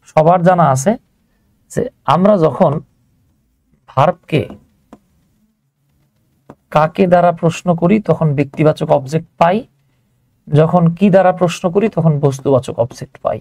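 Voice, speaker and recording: A man's voice: on the high side, darkish tone, no room sound. A man with a Bangladeshi accent teaching grammar informally, step by step, often putting questions to his learners as he explains. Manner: conversational, checks on learners with rhetorical questions.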